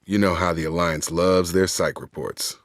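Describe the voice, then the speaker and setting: An actor playing a loyal, earnest young space soldier in a video game, with a straight-talking military manner. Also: male voice, sits low, flat delivery, moderate pace, no echo, studio quality. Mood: steady, serious. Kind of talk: video game character dialogue.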